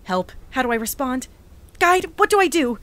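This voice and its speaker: A woman playing an eager, naive explorer entity who excitably logs observations aloud in an audio drama. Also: high voice, normal speed, background noise. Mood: flustered, earnest. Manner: excitable, gushing, talking through observations aloud. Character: eager, naive young explorer entity logging a new reality. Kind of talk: audio drama mission log.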